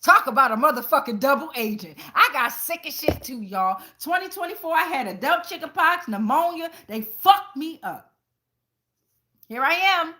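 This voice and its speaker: A woman with a somewhat high, sharp-edged, very bright voice hosts a casual tarot reading. She speaks bluntly and swears freely. She keeps checking in with her viewers as she slips between the cards and personal anecdotes. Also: sing-song, normal speed. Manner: conversational, addresses viewers directly with frequent check-ins. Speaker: tarot reader and channel host.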